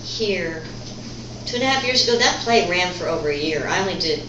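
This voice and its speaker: A middle-aged woman telling stories in a chatty, rambling way, her voice fairly low for a woman. She sounds a distance from the mic in an echoey room, with clear noise behind her. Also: lively intonation, fairly quick, lo-fi sound. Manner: chatty, rambling, frequent restarts. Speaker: actress guest. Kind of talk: casual conversation, telling stories.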